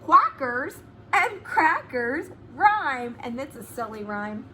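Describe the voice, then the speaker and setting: Female preschool teacher, high and very lively, slow deliberate diction, faint background noise. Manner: enunciates words deliberately, asks the children questions. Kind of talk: animated read-aloud lesson for young children.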